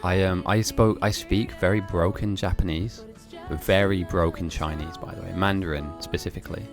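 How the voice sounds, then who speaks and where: A chatty man with an English accent who grew up speaking German and Italian talks loosely and laughs often while he streams a game. His voice sits low and has a creaky, gravelly edge. Soft music plays faintly underneath. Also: steady tone, normal speed. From grew up in Germany and Italy. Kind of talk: casual chat while gaming, reading viewer messages.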